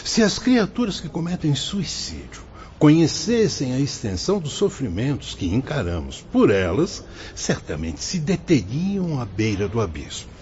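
A man acting as a wise, fatherly spirit guide in a radio drama, patient and solemn, explaining in formal, measured phrases. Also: medium pitch, big pitch swings, a little mellow, slight background noise, lightly acted. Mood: compassionate. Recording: dull lo-fi audio with music behind.

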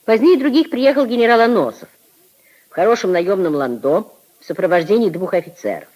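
A woman narrating a literary radio play in a 1950s style, with a husky voice. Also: medium pitch, animated, brisk, a little mellow, low-quality recording.

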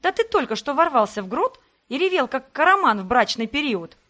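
A woman voicing a hot-tempered, sharp-tongued young heroine who shouts in indignant exasperation. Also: on the high side, lively intonation, brisk. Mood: furious.

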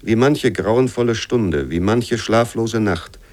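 A man reading a bleak childhood memoir as a quoting voice in a radio essay, measured and sober. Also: lowish voice, a little mellow. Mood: sober, grave. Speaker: reader of literary quotations. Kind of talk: scripted literary reading for radio.

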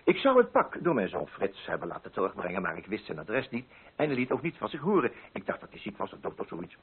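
A man's voice: on the low side, wildly swooping pitch, fast talker, slight background noise. A man playing a pompous, fussy, middle-aged coffee broker with a Netherlands Dutch accent, in a dramatized radio monologue. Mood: brisk, self-satisfied. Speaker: narrating lead character. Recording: muffled, band-limited radio drama recording.

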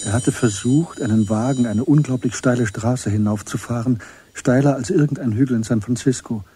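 A man narrating a radio crime drama in a measured, matter-of-fact way as its storyteller. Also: mid-range voice, warm tone, slight background noise, natural voice.

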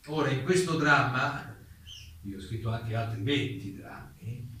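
A man's voice: mid-range voice, animated, measured pace, bright, forceful tone. A man playing a polite, evasive but insistent German playwright testifying at a hearing in a radio drama, hesitant and repeating himself. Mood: hesitant, explanatory. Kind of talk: stage-style radio drama reenacting a hearing. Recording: echoey room, distant mic, faint noise.

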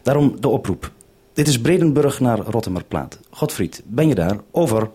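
A man's voice: mid-range voice, expressive, normal speed, mellow, slight background noise. A man with a Netherlandic Dutch accent presenting and interviewing over a live radio link in a vintage 1970s broadcast.

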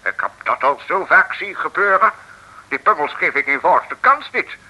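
An actor playing a bombastic, cowardly mayor with a husky voice in an old radio comedy serial. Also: male voice, fairly high pitch, expressive, moderate pace, pushed and bright, exaggerated voice, low-quality recording. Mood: eager, smug. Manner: bombastic, blustering. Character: pompous, cowardly, opportunistic male mayor.

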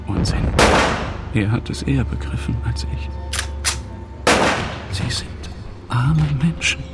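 Slow, brooding man over loud music. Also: mid-range voice, animated, creaky. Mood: solemn, resolved. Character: doomed, brooding male doctor turned fighter, thinking aloud. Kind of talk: slow radio drama inner monologue.

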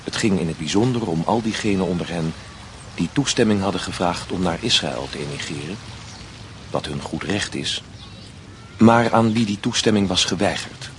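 A man reading scripted, documentary-like narration for a radio play in a measured, formal manner. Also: low voice, a little hiss or hum.

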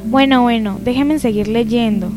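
A woman's voice: fairly high pitch, unhurried, natural voice. A woman playing a curious young townswoman who reads history aloud carefully in an amateur radio drama. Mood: earnest reading. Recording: music behind the voice.

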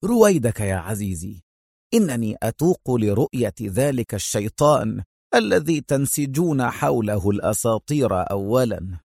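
Man acting out a smug, heavyset villain, lively intonation. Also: mid-range voice, no echo, clean studio sound, lightly acted. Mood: calm, smug. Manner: confident, unhurried, gloating. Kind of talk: dramatized audiobook character voice.

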